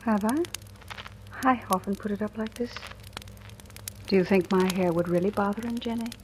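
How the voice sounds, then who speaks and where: A woman's voice: medium pitch, moderate pace, noisy background, close to an everyday voice. An actress playing a guarded, probing woman telling her suspense story in old-time radio drama style. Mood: gentle, guarded. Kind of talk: 1940s-50s radio drama acting. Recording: old radio recording, music behind.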